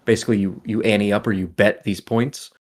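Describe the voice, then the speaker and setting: A man's voice: fairly low pitch, steady tone, fairly quick, a little mellow. A man co-hosting a casual gaming podcast, explaining things in a conversational way with some casual swearing. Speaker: podcast co-host.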